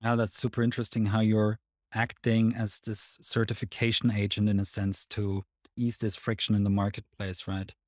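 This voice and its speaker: Man, swiss accent, lowish voice, interview host, dry sound. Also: flat delivery, moderate pace, a little mellow, dry recording. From Switzerland. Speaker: podcast host. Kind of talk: interviewing a guest.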